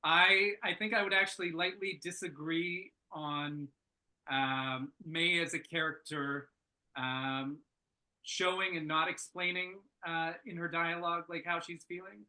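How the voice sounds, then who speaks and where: A man giving casual workshop feedback, thinking aloud in halting, searching phrases. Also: a little high, steady tone, sharp tone, poor audio. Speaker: script reader giving feedback at a table read.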